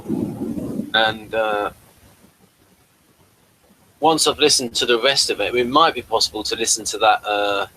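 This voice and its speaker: A man hosting a casual review chat, talking in a halting, rambling way. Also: fairly low pitch, sharp tone, a little hiss or hum, rough recording. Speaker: host of a TV review talk.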